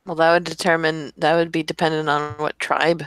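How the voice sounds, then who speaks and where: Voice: mid-range voice, flat delivery, brisk, fairly bright tone, smooth, dry recording. A person chatting casually while taking part in a group brainstorm. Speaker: stream participant. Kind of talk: casual chat.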